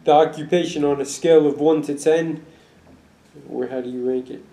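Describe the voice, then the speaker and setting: Mellow-voiced male interviewer, echoey room. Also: a little high, steady tone, moderate pace. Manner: probing, provocative questions. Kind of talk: casual interview questions.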